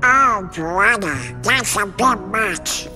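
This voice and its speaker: A man voicing an excitable, grumpy cartoon duck with a squawky, garbled quacking voice in a game cutscene. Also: high voice, slow, heavily acted. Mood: exasperated. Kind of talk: animated game cutscene acting. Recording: long reverb, music behind.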